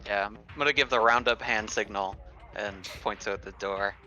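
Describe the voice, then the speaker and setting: A man talks casually as a tabletop game player. His low voice has a bright, tense edge, captured in slightly lo-fi sound with faint music. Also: fairly even intonation, moderate pace.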